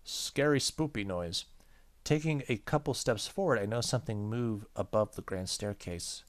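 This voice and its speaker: A man with a fairly low voice hosts a let's play of a story game. He reads narration aloud and drops in casual, joking commentary as he goes. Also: moderate pace, slight background noise. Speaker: gaming video host.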